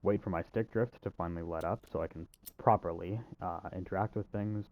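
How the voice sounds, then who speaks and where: A man's voice: deep voice, fairly even intonation, a little fast, lo-fi sound, noisy background. A man giving a casual, unscripted video game walkthrough in an offhand, self-deprecating way. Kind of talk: casual unscripted gameplay commentary.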